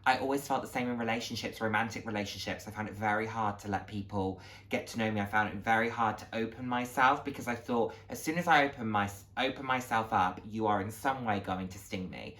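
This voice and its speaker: A man's voice: lowish voice, brisk, hardly any pauses, a bit bright. Earnest man in a candid personal monologue. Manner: earnest, emphatic, repeats phrases for stress. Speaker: vlogger sharing a personal journey. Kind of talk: candid motivational monologue to camera.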